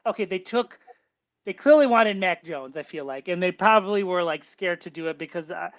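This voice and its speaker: A man calling in to a sports show, talking casually with a nasal, high-pitched voice and rambling, stop-start restarts. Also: expressive, brisk, fairly bright tone. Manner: rambling, repeats words when starting a point. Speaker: caller. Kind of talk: casual sports call-in chat. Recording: dull lo-fi phone line.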